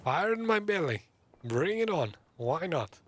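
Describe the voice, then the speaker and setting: A man's voice: a little high, big pitch swings, measured pace, slight background noise. A man giving casual, chatty live playthrough commentary as a gaming streamer, reacting to the game as he goes. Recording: game ambience behind the voice. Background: video game ambience.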